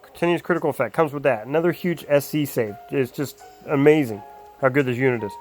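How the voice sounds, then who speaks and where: A man casually talking through a mobile game character build, rambling with lots of ums and uhs, his voice a little hoarse. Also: medium pitch, fast talker, a little mellow, faint music behind. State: slightly hoarse, losing his voice. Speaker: mobile game guide creator. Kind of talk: casual game guide commentary.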